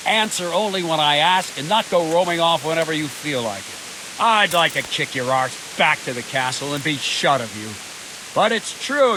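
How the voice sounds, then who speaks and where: A man's voice: fairly high pitch, natural voice. Guy doing a bossy old-soldier captain from a game, swooping angry voice, hissy rainy noise behind. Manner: scolding, sarcastic, domineering. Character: gruff bullying veteran castle captain berating a subordinate. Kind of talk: video game cutscene acting.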